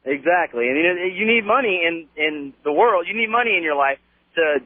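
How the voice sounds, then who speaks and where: Fast-talking young American caller, tinny phone line. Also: male voice, fairly high pitch, animated, dry recording. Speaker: radio show caller. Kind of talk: casual phone-in chat. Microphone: telephone.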